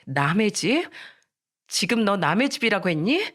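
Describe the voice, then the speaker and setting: A loyal teenage schoolgirl in an audio story, acted by a woman, earnest and quick to worry. She sounds pleading and reproachful, with animated pitch in a middle register. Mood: worried, indignant. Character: earnest, worried teenage schoolgirl, loyal but indignant friend.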